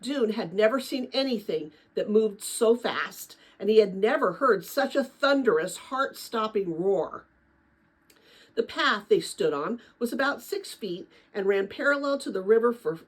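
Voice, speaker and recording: Expressive woman narrator with a husky edge. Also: mid-range voice, measured pace, low-quality recording. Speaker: read-aloud narrator. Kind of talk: dramatic storybook read-aloud for middle-grade listeners.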